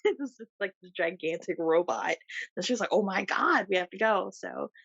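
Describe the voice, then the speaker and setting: A woman's voice: mid-range voice, expressive, moderate pace, creaky, a bit bright, husky, no echo. A woman co-hosting a podcast, chatting casually and laughing often as she tells stories. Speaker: podcast co-host. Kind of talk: casual chat. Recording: dull lo-fi sound.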